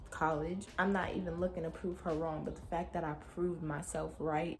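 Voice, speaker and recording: A young woman with a low, deep speaking voice, a touch raspy after waking up cold. She talks candidly and emotionally straight to her viewers from her room, recorded on a simple camera mic. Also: a little mellow. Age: young adult. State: raspy throat from a cold night. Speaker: vlogger. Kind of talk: personal reflective vlog.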